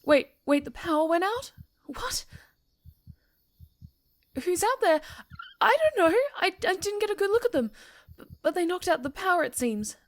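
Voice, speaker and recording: A woman playing a young female character in an ASMR roleplay audio drama, with an expressive, dramatic delivery. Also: very high-pitched, moderate pace. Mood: panicked, frantic. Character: friendly young woman camper turned panicked, secretly sinister stalker.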